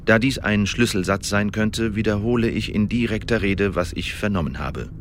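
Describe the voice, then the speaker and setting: An actor playing an Elizabethan secret agent who gives a precise, measured official report in a radio drama monologue. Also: male voice, on the low side, background noise, close to an everyday voice. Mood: careful, matter-of-fact.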